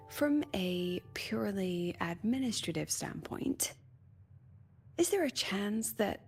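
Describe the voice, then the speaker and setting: An actress playing a weary, wry academy president in a video game, sly and chatty, talking conversationally with sighs and coy pauses. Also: female voice, mid-range voice, gravelly. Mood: coy, probing. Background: background music.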